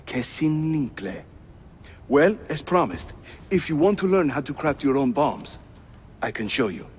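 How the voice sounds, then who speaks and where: An actor playing a jovial, roguish Turkish assassin, a teasing mentor with a Turkish accent and playful delivery in a video game. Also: male voice, a little high, unhurried, slight background noise. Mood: breezy, helpful. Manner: playful, animated. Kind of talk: cinematic video game acting.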